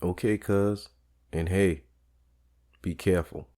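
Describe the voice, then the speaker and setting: A man's voice: sits low, steady tone, takes it slowly, mellow. A man playing a guarded, plain-spoken barbershop owner standing firm against mobsters in an audio drama. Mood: calm, resolute.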